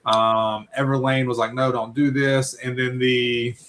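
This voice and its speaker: A man's voice: mid-range voice, little pitch movement, measured pace, fairly bright tone. A man talks loosely and at length, looping back with little check-ins as he goes. His voice sits in an ordinary middle range. A faint hiss hangs behind him. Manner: rambling, many fillers and "right?" tags. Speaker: podcast co-host. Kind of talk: casual book-club chat.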